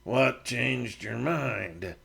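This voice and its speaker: A man voicing a stubborn frontier man who talks in a slow, low, grumbling drawl. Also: animated, gravelly, fairly bright tone, breathy edge, heavily acted.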